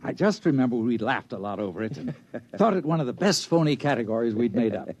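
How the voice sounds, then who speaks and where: An actor playing an older, boozy, grandiose ex-acrobat turned schemer in a theatrical 1950s radio drama style. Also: male voice, medium pitch, very animated, quick pace, a little mellow. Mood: jovial, scheming. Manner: theatrical, expansive, swooping delivery.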